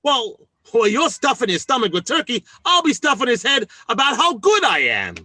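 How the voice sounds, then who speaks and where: An actor playing a scheming, self-important working-class husband in an old-time radio sitcom, with blustery, folksy speech. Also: male voice, very high-pitched, huge pitch swings, normal speed, bright, forceful tone, no echo, heavily acted.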